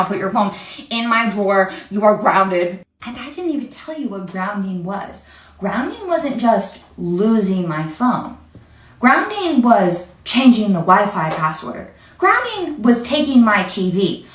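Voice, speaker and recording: A young adult woman with an American accent telling a casual, rambling personal story, wry and self-deprecating, with emphatic exaggeration. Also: medium pitch. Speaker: social media storyteller. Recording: echoey room, distant from mic.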